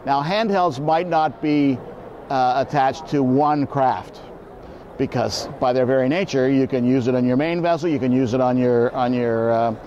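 A man, explaining things informally as an expert interview guest in a halting way, repeating words. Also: medium pitch, dark tone. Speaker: boating program manager, expert guest. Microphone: lapel mic. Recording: slightly dull, faint background noise.

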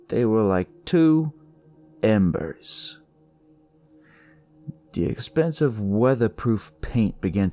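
Slow, gravelly man telling dark stories. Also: lowish voice. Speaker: storyteller reading in first person as a detective. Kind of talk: dramatic scripted noir horror narration. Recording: music behind the voice.

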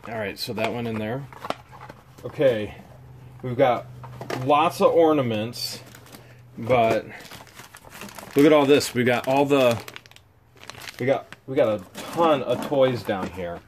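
An excitable man narrating an unboxing vlog in a casual, chatty way and reacting loudly to each item he pulls out. His voice sits in a middling pitch and is picked up by a handheld camera mic in a garage, with faint noise behind him. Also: slow, long pauses. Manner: excitable, exclaims over each find. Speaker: vlog host unboxing storage finds.

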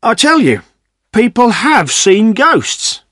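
Village butcher, male, acted by a man narrator: assertive storybook believer, high, slow and emphatic. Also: fairly bright tone, no echo, clean studio sound. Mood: insistent.

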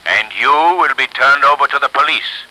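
An actor playing an unseen, commanding, ominous avenger in a 1930s radio mystery. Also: male voice, medium pitch, moderate pace, bright, forceful tone, rough recording. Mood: stern, accusing.

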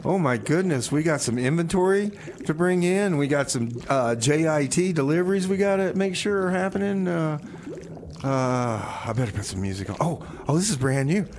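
A man's voice: fairly high pitch, lively intonation, normal speed, a little mellow. Rambling, folksy male radio host. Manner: rambling, repeats phrases, folksy. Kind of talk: casual community radio patter with comedy bits.

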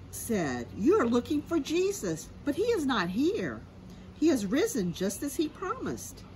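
A middle-aged woman, a preschool teacher, reads a Bible story aloud to young children in a simple, expressive storytelling manner. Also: fairly high pitch, a little hiss or hum, rough recording. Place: outdoors in a sunny grassy backyard. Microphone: camera a short distance away.

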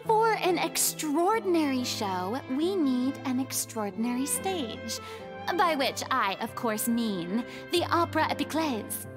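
A woman's voice: high-pitched, sing-song, a little slow. A woman playing a proud, flamboyant young stage star in an anime game, declaiming in a grandiose way. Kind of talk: anime video game voice acting. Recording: background music under the voice.

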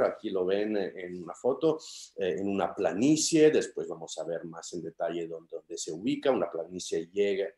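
Guy in his 50s lecturing on a webcam, really low voice, lots of ehs, roomy echo. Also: mellow. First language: Italian. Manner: hesitant, many fillers and restarts. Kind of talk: academic lecture over video call. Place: home study with bookshelves. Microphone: video call webcam.

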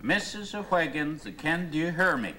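A man playing a flustered, pompous middle-aged boss in a 1970s TV sketch, fussy and over-explaining, on an old tape with loud hiss. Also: a little high, lively intonation, a little slow. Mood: exasperated. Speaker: exasperated office boss. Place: TV studio office set.

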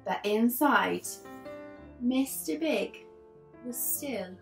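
A woman in her thirties reading a children's story aloud like an infant teacher, expressively, with dramatic pauses between phrases. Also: fairly high pitch, expressive, gravelly. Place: living room sofa, indoors. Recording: roomy, distant mic, faint music behind.

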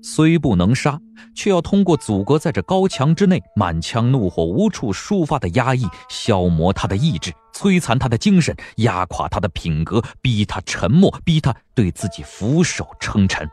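A man's voice: medium pitch, big pitch swings, normal speed, hardly any pauses, a little mellow. A man giving dramatic scripted narration for a historical audio drama, as an audiobook narrator.

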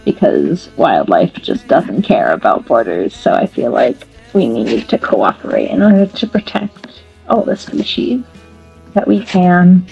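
A woman's voice: on the low side, big pitch swings, unhurried, gravelly, darkish tone, husky. A young woman from the United States, a student, earnestly explaining her reasons on a porch webcam. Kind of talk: self-introduction. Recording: slightly dull audio with faint music.

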